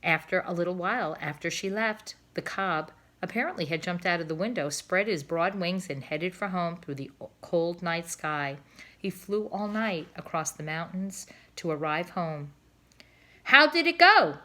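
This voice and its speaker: A woman reading a children's book aloud in an expressive, emphatic way. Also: lowish voice, moderate pace. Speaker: storybook reader.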